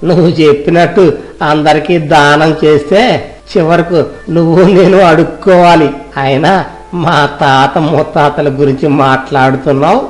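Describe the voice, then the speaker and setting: A man's voice: fairly high pitch, darkish tone, natural voice. A man playing an arrogant, selfish rich villager who talks in a haughty, mocking way in a moral story, music behind him. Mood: irritated, scornful.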